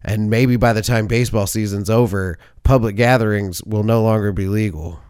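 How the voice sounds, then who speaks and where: A man's voice: fairly low pitch, steady tone, normal speed, a little mellow, smooth. A man hosting a sports podcast, talking alone in a rambling, jokey way and often correcting himself mid-sentence. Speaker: podcast host. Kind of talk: casual sports podcast monologue.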